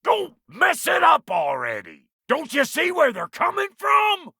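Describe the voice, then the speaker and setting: A man voicing a gruff, cranky, foul-mouthed but fond dwarf blacksmith in a video game, with a raspy, folksy drawl. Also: very high-pitched, huge pitch swings, measured pace, pushed and bright, no room sound, shouting, studio quality, full character voice. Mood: frantic, irate. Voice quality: gravelly, raspy. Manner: folksy, crude, blustering drawl. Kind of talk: video game character dialogue.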